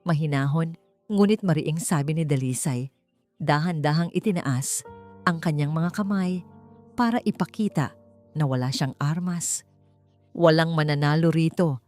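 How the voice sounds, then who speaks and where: A woman's voice: sits low, moderate pace, pauses often, darkish tone. A woman reading a scripted, dramatic romance story as its narrator. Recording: faint music behind. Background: faint music.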